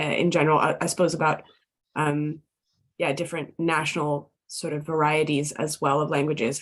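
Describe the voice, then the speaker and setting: Low-voiced woman asking a question. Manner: thoughtful, hesitant, many fillers. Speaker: audience member asking a question. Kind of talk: casual webinar question.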